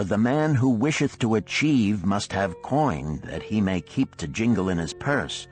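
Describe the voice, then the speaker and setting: Man as solemn ancient Babylonian, low voice, deliberate, archaic declaiming, audiobook parable, faint music. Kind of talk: parable read aloud in an audiobook.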